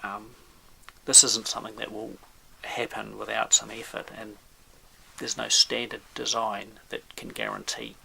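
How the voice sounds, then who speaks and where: Man, very creaky low-pitched voice, halting measured delivery, technical explainer, faint background noise. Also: pushed and bright, low-quality recording. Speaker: audio expert presenter. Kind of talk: informal technical explainer talk.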